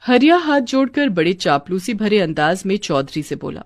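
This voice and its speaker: A woman's voice: medium pitch, sing-song, normal speed, dry recording, clean studio sound. A woman narrating a scripted village cartoon story.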